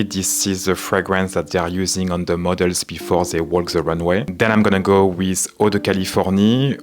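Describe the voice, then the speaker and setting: A man with a French accent, talking calmly to camera in a casual vlog with frequent fillers. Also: sits low. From France. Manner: calm, measured, frequent "you know" fillers. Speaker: menswear and vintage YouTuber. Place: home in Southern California.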